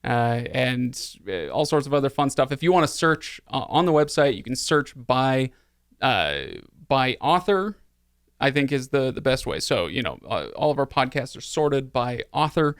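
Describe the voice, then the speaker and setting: A man hosts a casual podcast in a medium-pitched voice. He banters in a tongue-in-cheek, joking way, and the sound is close and dry, with no room to it. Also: expressive, moderate pace, clean tone, no room sound. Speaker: podcast host.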